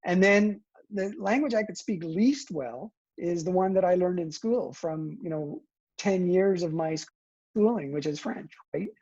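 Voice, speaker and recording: A man's voice: high voice, a little slow, darkish tone, husky, muffled recording. A man whose first language is Italian, a guest answering interview questions in a thoughtful, hedging way, tagging his points with "right?". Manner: thoughtful, hedging, frequent "you know" and "right?". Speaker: guest, education professor.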